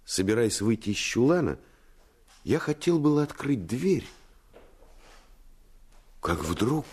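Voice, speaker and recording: An actor playing a storyteller who recounts a boyhood adventure, in expressive, literary radio-play narration. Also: male voice, fairly low pitch, slight background noise, heavily acted.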